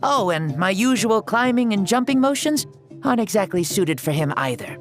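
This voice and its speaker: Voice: mid-range voice, lively intonation, moderate pace, soft background music, natural voice. An actor playing an ancient hero who inhabits a young boy's body in a video game, theatrical, jaunty and self-assured. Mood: earnest.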